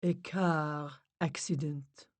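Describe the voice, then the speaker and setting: A woman voicing a female spirit medium who speaks low, soft and full of sympathy. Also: steady tone, unhurried, natural voice. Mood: sympathetic.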